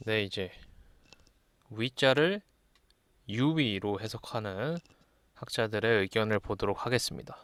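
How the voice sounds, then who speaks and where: A man lectures in a voice of average pitch. He reads passages aloud and then explains them in a measured, teacherly manner. The sound is dry, with very little room in it. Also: sharp tone, clean tone, no room sound. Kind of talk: explanatory lesson, reading classical texts aloud and commenting.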